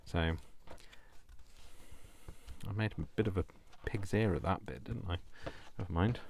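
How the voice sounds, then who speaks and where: A man with a deep voice chatting casually as he hosts a craft video, playful and self-deprecating, rambling off into asides. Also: brisk, vocal fry, darkish tone, a little hiss or hum.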